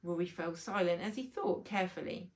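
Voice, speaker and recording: A woman narrating a children's book aloud in an expressive storytelling style, as its author. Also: fairly low pitch, brisk.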